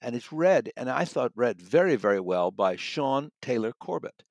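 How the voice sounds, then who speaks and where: Gravelly-voiced man giving a book review. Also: medium pitch, big pitch swings, darkish tone, no echo. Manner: halting, rephrases and repeats words for emphasis. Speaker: book reviewer, audiobook critic guest.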